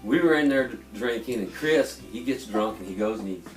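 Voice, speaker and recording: A middle-aged man telling loose, profane anecdotes to friends in an animated way. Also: medium pitch, a little fast. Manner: animated, profane, laughing through stories, quoting people. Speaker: storyteller. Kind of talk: casual anecdote among friends. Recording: echoey room, far from mic, music behind.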